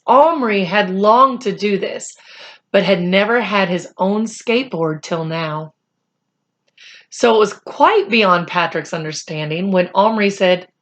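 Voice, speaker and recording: A woman reading a children's chapter book aloud in expressive, deliberate storytelling phrases. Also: mid-range voice.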